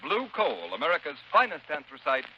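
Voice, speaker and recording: A man's voice: a little high, huge pitch swings, brisk. A man announcing in formal, declamatory 1930s radio style. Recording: muffled, noisy 1930s radio broadcast.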